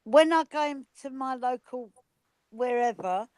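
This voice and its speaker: A woman's voice: sits high, a little slow, a little mellow, smooth, no echo. A Cockney woman from England chatting casually and bluntly on an online panel, with a complaining way of talking.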